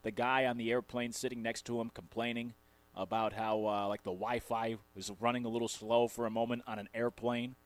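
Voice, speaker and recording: American guy doing sports radio, medium pitch, chatty and rambling, informal. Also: flat delivery, brisk. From Connecticut, USA. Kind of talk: conversational sports talk monologue. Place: radio studio.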